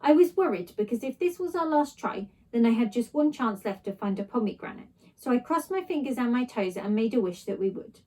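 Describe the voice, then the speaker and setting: A woman's voice: fairly high pitch, animated, brisk, dark tone. A woman reading a children's story aloud expressively, narrating in a child's first person. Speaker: story reader, teacher.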